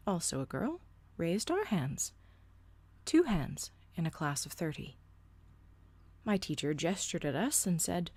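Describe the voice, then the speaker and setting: A woman's voice: on the low side, darkish tone, no echo. A woman in her thirties narrating a first-person story with a blunt, sardonic edge.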